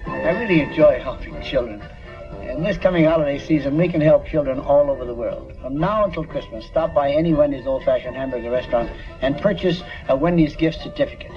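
A male spokesman with a slightly high voice reads a scripted radio ad. Music plays behind him on a dull lo-fi recording. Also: a little fast. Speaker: commercial spokesman. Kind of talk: scripted first-person radio advertisement.

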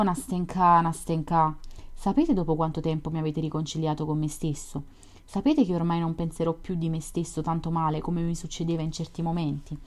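A woman's voice: low voice, warm tone, close to an everyday voice. A woman voicing a lonely, melancholy young male dreamer who pleads and confesses in fervent outpourings, read from a storybook.

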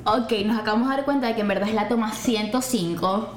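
A young woman with a Venezuelan accent, co-hosting a casual podcast chat, animated and emphatic. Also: fairly high pitch, fast talker. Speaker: podcast co-host. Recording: echoey room with faint noise.

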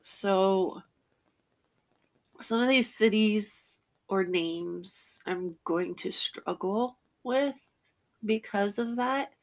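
Woman podcast host, stuffy and congested, slow and unsure, lots of ums and stretched vowels, gravelly fry on her words. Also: medium pitch. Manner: nervous, many fillers and drawn-out vowels; laughs between sentences. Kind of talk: casual podcast chat.

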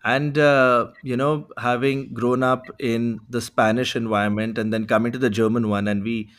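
A man's voice: medium pitch, flat delivery, normal speed, fairly bright tone. A man hosting a podcast, giving polished introductions and guiding the conversation. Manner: polished, welcoming introductions with frequent "you know". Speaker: podcast host.

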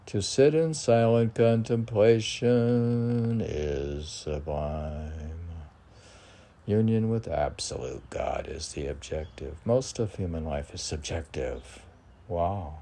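Very deep, gravelly, slow-talking man. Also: warm tone, slight background noise. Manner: musing, self-questioning, halting. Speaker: poet reading and commenting on own writing. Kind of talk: rambling solo monologue mixed with short aphoristic poem lines.

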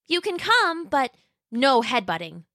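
Storybook: a high, sweet, polite girl T-Rex, childlike and eager, clear dry sound, voiced by a woman. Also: sing-song, measured pace, sharp tone, no echo, exaggerated voice. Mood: pleading.

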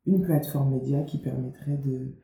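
A woman's voice: rumbling low, fairly even intonation, normal speed, vocal fry, dark tone. A young woman from Guadeloupe, an author being interviewed, talking casually with many fillers and restarts. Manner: chatty, lots of fillers and restarts. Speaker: author and media founder, guest. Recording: roomy, faint background noise.

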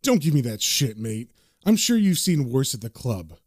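A man's voice: big pitch swings, moderate pace, no room sound, studio quality. A man voicing a cynical, hard-bitten male occultist in a comic-book horror story, bossy and slangy. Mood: disdainful. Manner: low, sardonic, slangy, bossy.

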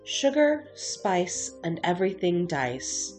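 A woman's voice: lowish voice, sing-song, takes it slowly, a little mellow. A woman reading a dramatic scripted promo as a trailer narrator. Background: music.

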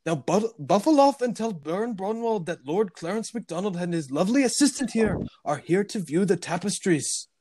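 A man's voice: high-pitched, animated, normal speed, few pauses, mellow, husky, voice pushed up. A man playing a haughty, indignant lord with an attempted Scottish accent in an amateur film script reading. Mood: haughty indignation. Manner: haughty, theatrical. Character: pompous, indignant fake Scottish lord, comically bad impression.

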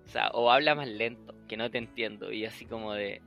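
A man's voice: on the low side, a little fast, creaky, pushed and bright, lo-fi sound, faint music behind. A young man from Chile chatting casually as a podcast host, acting out old conversations and laughing often. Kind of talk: casual chat.

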